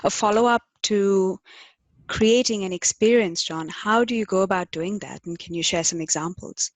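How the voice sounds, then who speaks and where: A woman with a mid-pitched voice, recorded dry and without room sound. She speaks conversationally as a podcast co-host and interviewer. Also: normal speed, no room sound.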